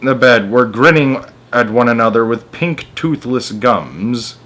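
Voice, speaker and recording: A man narrating a storybook aloud in an expressive, emphatic manner, with casual asides. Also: mid-range voice, unhurried, slight background noise. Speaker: story narrator and channel host.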